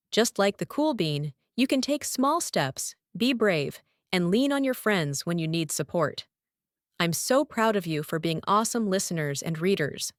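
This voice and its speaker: Female teacher-host for kids' read-aloud: encouraging, expressive, dry studio-like sound. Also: medium pitch, no echo. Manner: encouraging, praising. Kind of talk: addressing young students after a storybook.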